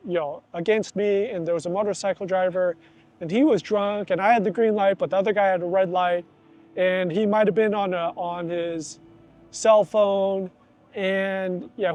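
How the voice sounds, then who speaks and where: Chatty middle-aged man with a high voice. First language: English. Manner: chatty, animated, acts out quoted voices. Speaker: vlogger, student of Thai. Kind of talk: casual vlog talk to camera. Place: outdoors near a festival.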